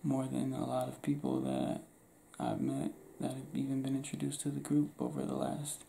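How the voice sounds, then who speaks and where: Young man, half-whispered, laid-back, gravelly, close to the camera, small room. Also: mid-range voice, very animated, normal speed, warm tone, slight background noise. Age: young adult. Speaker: ASMR roleplay creator. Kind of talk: ASMR roleplay, spoken to the listener. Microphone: camera close to face.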